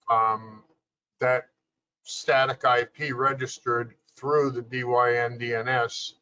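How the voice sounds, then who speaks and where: Man, unhurried and bright-toned, patient tech explainer over a dull, lo-fi call line. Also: medium pitch. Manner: measured, explanatory, with many fillers. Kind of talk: detailed technical explanation on a video call. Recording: dull lo-fi video call audio.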